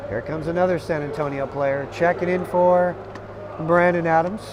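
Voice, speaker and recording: Hoarse, high-voiced male basketball commentator. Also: normal speed, background noise. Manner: energetic, chatty, rising to excited calls. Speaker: play-by-play commentator. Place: arena broadcast position.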